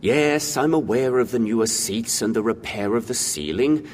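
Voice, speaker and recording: An actor playing a stern, loyal old senior cinema usher, speaking formally and emphatically in an audio drama. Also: male voice, mid-range voice, moderate pace, darkish tone, close to an everyday voice. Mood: dry, exasperated. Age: older. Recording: reverberant hall, faint background noise.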